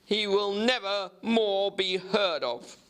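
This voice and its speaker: A man voicing an agitated, feverish gentleman doctor, fervent and faltering, in a dramatic book reading. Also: high-pitched, slow pace, clear, resonant tone, voice pushed up. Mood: feverish, desperate. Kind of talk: dramatic audiobook character reading.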